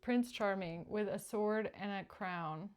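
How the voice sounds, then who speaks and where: A woman with a medium-pitched voice gives a tarot reading as the host. She speaks spontaneously and directly to her viewers, leaving pauses and repeating short phrases to stress them. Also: clean tone. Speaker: tarot reader and channeller, show host.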